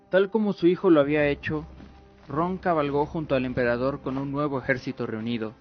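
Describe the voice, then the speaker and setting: A man narrating a scripted fantasy radio drama in a solemn storytelling manner. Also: mid-range voice. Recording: dull lo-fi audio with music behind. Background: music.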